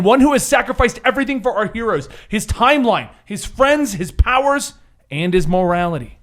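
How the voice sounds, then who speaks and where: A man working as game master, narrating a superhero tabletop game like a dramatic, theatrical storyteller. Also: high voice.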